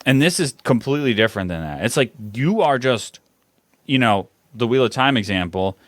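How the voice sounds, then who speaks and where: A man's voice: medium pitch, lively intonation, brisk, fairly bright tone, dry recording. A man co-hosting a casual podcast, thinking aloud and rambling about running his tabletop game. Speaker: podcast co-host and game master. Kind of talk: casual chat.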